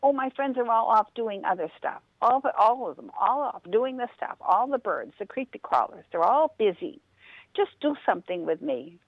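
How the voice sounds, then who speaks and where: A woman's voice: fairly high pitch, animated, moderate pace, vocal fry, no echo, pitched above the performer's own voice. A woman voicing a restless, bored young male rabbit in a told story, pleading and eager, heard over a phone line.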